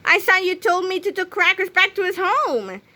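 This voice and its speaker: Woman playing a brash, bright-voiced schoolboy. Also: very high-pitched, expressive, normal speed, pitched above the performer's own voice, full character voice. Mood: stern, exasperated. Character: George, confident, breezy, mischievous schoolboy. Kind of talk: children's storybook read-aloud.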